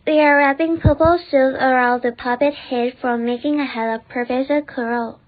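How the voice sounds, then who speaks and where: A woman's voice: high-pitched, fairly even intonation, normal speed. A young woman, a student explaining craft steps one by one. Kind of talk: step-by-step explanation. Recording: thin phone-like sound, chatter and hiss.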